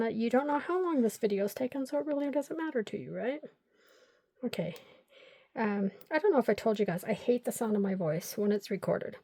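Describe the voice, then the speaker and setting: A woman's voice: fairly high pitch, mellow, dry recording. An older woman hosting a crafting vlog, chatting and rambling through stories while she glues paper pieces. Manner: talks to herself while gluing. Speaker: crafting channel host.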